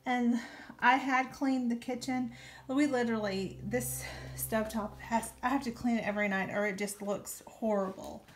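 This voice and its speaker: A woman's voice: on the high side, fairly even intonation, moderate pace, slight background noise. A young woman, chatting casually with many ums while she shows how she cleans her kitchen. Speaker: home cleaning vlogger. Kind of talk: casual talk over a cleaning demo. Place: home kitchen, indoors. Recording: music playing under the voice.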